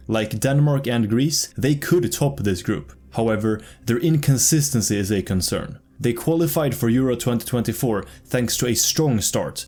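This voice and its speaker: A man reading scripted football commentary in a measured way, slipping in dry, wry asides. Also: mid-range voice, a little mellow. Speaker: football predictions channel host. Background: faint background music.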